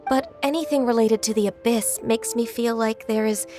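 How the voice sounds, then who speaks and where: A woman playing a gentle, earnest young official in a video game, speaking in a measured way. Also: a little high, natural voice. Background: faint game music.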